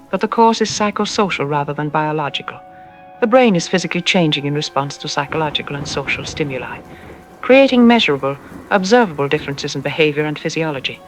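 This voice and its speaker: A woman playing a self-assured psychologist on a radio drama interview, explaining in an articulate, didactic and calmly dismissive way. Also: low voice, fast, poor audio. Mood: emphatic. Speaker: expert interview guest. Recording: music playing under the voice. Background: background music.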